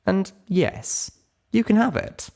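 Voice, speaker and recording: A man's voice: sits high, very animated, slow pace, vocal fry, darkish tone, pitched above the performer's own voice, exaggerated voice. A man voicing a teasing, affectionate adult female pony in a story, with a playful manner. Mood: fond, earnest.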